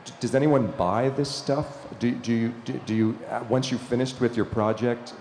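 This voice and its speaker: A male moderator questioning a speaker on stage, halting and stammering as he talks. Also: mid-range voice, steady tone, a little mellow. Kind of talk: onstage questioning. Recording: roomy hall with faint noise.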